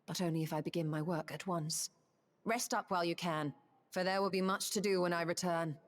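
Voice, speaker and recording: A woman playing a composed, resolute sorceress and scholar in a fantasy video game, measured and formal. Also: lowish voice, fairly even intonation, close to an everyday voice. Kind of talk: dramatic video game acting. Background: game music.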